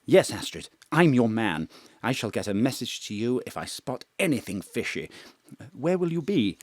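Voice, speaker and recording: An actor playing a posh, suave, devoted gentleman spy chief with an eager, flirtatious manner in a comic audio drama. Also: mid-range voice, very animated, moderate pace, dry recording, close to an everyday voice. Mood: devoted, wistful.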